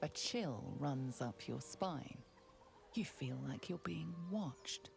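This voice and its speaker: An ominous storyteller for a fantasy game, speaks low with a gravelly edge. The delivery is slow, composed and dramatic over music. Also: female voice, lively intonation, full character voice. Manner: measured, dramatic. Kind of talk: scripted second-person game narration.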